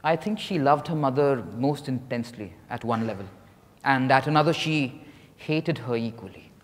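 A middle-aged man on stage playing a dignified novelist under interrogation, speaking in a measured, formal, defensive way. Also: medium pitch, natural voice. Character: reserved, dignified male novelist being interrogated. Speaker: stage actor. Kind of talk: dramatized play reading on stage. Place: large hotel ballroom stage. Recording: echoey hall from far off.

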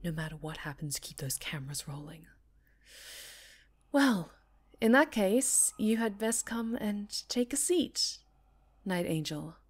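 A woman playing a composed TV talk-show host in an audio roleplay, speaking in a measured, polished, formal way. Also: mid-range voice, expressive, breathy edge. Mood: guarded, composed. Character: poised female TV talk-show host, composed under threat.

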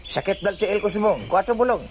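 A man's voice: high-pitched, expressive, quick pace, a little hiss or hum. Man acting a goofy, swooping-voiced adventurer buddy. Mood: grumbling, hesitant. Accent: Ilonggo Filipino. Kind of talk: comedic audio drama acting.

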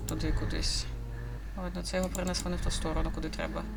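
A woman's voice: lowish voice, flat delivery, rapid-fire, creaky, heavy background noise. A woman giving casual commentary as she plays a game, muttering to herself in a puzzled way and reading bits of dialogue aloud. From Ukraine. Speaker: gaming video host. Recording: music behind the voice.

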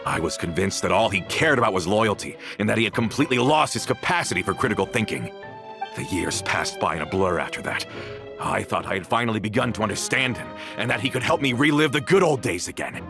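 A man's voice: lowish voice, lively intonation, fast, vocal fry. A man playing a bitter, vengeful villain in a video game, dramatic and accusatory, with music behind him. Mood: bitter, brooding. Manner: dramatic, accusatory, swinging from brooding to ranting. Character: bitter, vengeful villain, a former member of a crime family. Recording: loud music under the voice.

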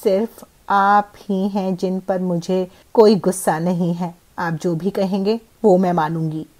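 A woman voicing a menacing, vengeful witch in an animated horror story, with taunting, drawn-out threats. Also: fairly low pitch, close to an everyday voice. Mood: grudgingly respectful. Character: menacing female witch, gloating, vengeful, preys on village men.

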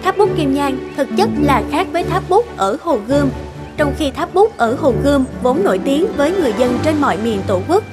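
A woman narrating a fairy tale in a scripted storytelling style. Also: high-pitched. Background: loud background music.

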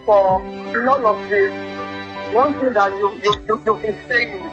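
A young woman in an emotional personal phone conversation, speaking haltingly and often repeating her words. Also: medium pitch. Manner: halting, repetitive phrasing. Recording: band-limited leaked phone call with music. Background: music.

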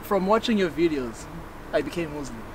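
A man's voice: on the high side, expressive, fairly quick. A young man hosting a casual outdoor interview in an upbeat, chatty way. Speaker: channel host. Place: outdoors by a roadside. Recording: street noise in an outdoor video clip.

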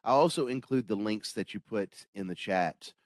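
Low-voiced male host joking on a call. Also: normal speed, no room sound, studio quality. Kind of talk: casual interview on a video call.

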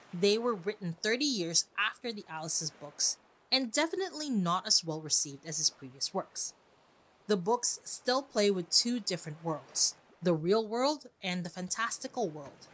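A woman's voice: mid-range voice, a bit bright, a little hiss or hum, poor audio. A woman hosting a podcast, reading a prepared script in an informal, conversational way with chatty asides. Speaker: podcast host.

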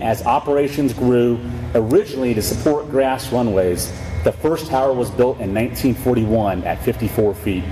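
A military commander, a man with a dark, relaxed tone, gives a formal prepared address. His phrasing is measured and declamatory. The sound carries long hangar reverb and a clear bed of ambient noise. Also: medium pitch. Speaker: military squadron commander speaking at ceremony. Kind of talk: prepared ceremonial speech. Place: large open hangar facing airfield.

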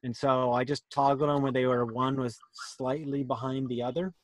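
A man's voice: medium pitch, fairly even intonation, moderate pace, dry recording. A man presenting a workshop on a video call, casually explaining things and answering questions. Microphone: computer mic on a video call.

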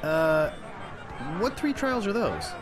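An actor playing an eager, naive young would-be pirate in a video game, earnest and wide-eyed. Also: male voice, high voice, huge pitch swings, slow, heavily acted. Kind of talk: video game character acting. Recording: loud music and crowd behind the voice.

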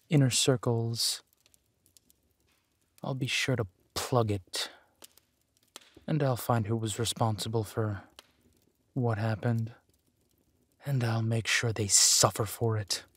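An actor playing a protective villainous duke, tender and soothing, in an intimate audio roleplay. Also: male voice, medium pitch, fairly even intonation, no room sound. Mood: proud, menacing. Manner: gentle, soothing, unhurried.

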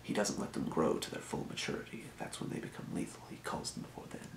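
A man voicing a helpful fantasy potion shopkeeper in an ASMR role play, murmuring in an unhurried, breathy whisper. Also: echoey room, background noise, close to an everyday voice. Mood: calm explaining. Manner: murmuring, unhurried, muttering to himself. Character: helpful old-world fantasy potion shopkeeper, quietly businesslike. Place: small room, indoors at a desk.

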